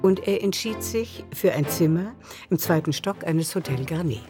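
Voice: mid-range voice, animated, moderate pace, music in the background. A narrator reading scripted literary prose in a radio drama.

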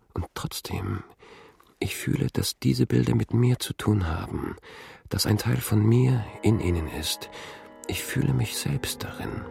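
A man's voice: fairly low pitch, creaky, darkish tone, breathy edge, dry recording, heavily acted. An actor playing a haunted, brooding man who recalls intrusive memories in fragmentary monologue for a radio drama. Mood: uneasy, brooding. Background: music.

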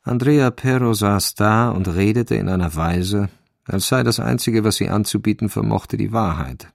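An actor as the wry, measured narrator of a German radio crime drama, with a deliberate, dry delivery. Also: male voice, on the low side, vocal fry, dry recording.

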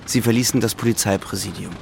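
A man reading scripted literary narration with a creaky voice. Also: on the low side, fairly even intonation, fast talker, noisy background.

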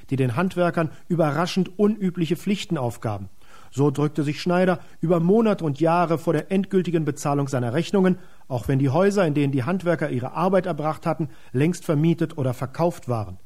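A man's voice: a little high, darkish tone. A man giving explanatory commentary in a radio documentary.

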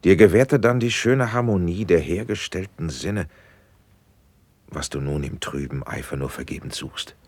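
An actor playing a benevolent, dignified prince in a classical verse radio drama, speaking in a husky voice with measured, paternal declamation. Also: male voice, low-pitched. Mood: gracious, advising.

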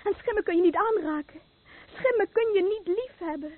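A woman playing a frightened young wife recoiling from her stricken husband, hushed and intense, in a Dutch radio play. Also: very high-pitched, normal speed, no echo. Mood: cold rejection. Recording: dry, narrow-band old radio recording.

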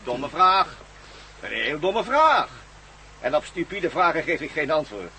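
Gloating, high-pitched villain, sharp and animated. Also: male voice, a little slow, slight background noise, full character voice. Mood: menacing, commanding. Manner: sneering formal politeness, laughs at his own cleverness. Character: gloating German villain, former Nazi scholar, mocking and menacing. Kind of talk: radio play acting.

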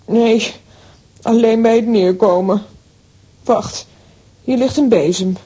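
A woman acting an excitable, exclamatory female character in a children's audio drama. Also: takes it slowly, husky. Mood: excited. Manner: theatrical, exclamatory. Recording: faint noise and low rumble.

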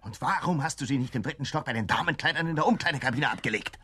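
An actor playing an impatient, bossy male militant gang leader with a husky voice in a radio drama. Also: on the high side, lively intonation, fast talker, over loud music, close to an everyday voice.

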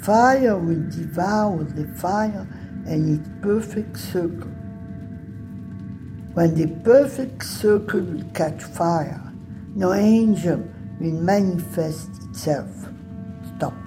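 A woman giving a solemn, deliberate recitation of poetry in a radio drama. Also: lowish voice. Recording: music behind the voice. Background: clearly audible music.

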